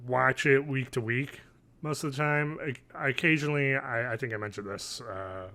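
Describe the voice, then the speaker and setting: A man in his thirties co-hosts a casual podcast with a bright, forward voice at medium pitch. He rambles drily and hesitates as he goes. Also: normal speed. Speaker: podcast co-host. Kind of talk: casual chat. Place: home living room, video call.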